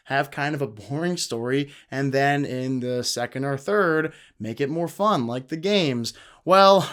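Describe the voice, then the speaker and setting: Clear, higher-voiced man reviewing movies. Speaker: film review channel host. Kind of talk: casual scripted video review to camera.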